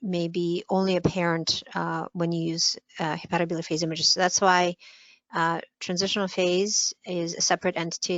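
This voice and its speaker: A woman's voice: on the low side, fairly bright tone, dry recording. A woman lecturer answering a question with careful, precise explanation and many fillers. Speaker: guest lecturer. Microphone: video call. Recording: dull muffled web call audio.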